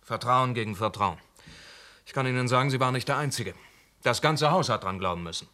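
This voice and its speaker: An actor in a radio play playing a police inspector who speaks formally, politely but firmly. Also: male voice, medium pitch, brisk, bright, forceful tone, close to an everyday voice. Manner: formal, courteous, firm.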